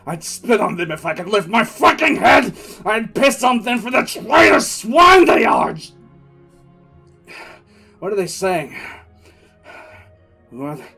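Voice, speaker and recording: An actor playing a frantic, bitter military commissar in an audio drama, telling his story in halting, intense first person. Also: sits high, bright, forceful tone, full character voice. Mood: desperate, furious. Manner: halting, intense, repeats words. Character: male military commissar, wounded, frantic, bitter survivor recounting disaster.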